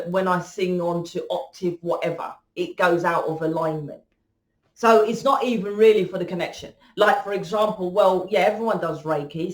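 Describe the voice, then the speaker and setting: A woman giving a rambling tarot reading, blunt and swearing freely. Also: on the low side. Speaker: tarot reader. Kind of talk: casual tarot reading monologue. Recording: echoey room.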